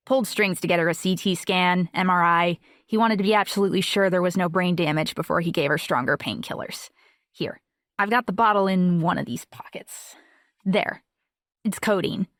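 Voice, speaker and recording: A woman's voice: lowish voice, moderate pace, a bit bright, no room sound, studio quality, close to an everyday voice. A young woman playing a self-assured, talkative friend who reassures a worried parent in a fluent, matter-of-fact way in an audiobook. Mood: matter-of-fact, reassuring. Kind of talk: audiobook dialogue acting.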